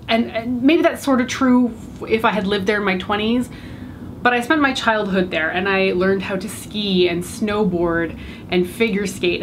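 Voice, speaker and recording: A woman's voice: lowish voice, a little hiss or hum, low-quality recording. A Canadian woman in her thirties, chatting casually to camera as a beauty vlogger, with lots of fillers and rambling asides. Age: 30s. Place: bright home room indoors.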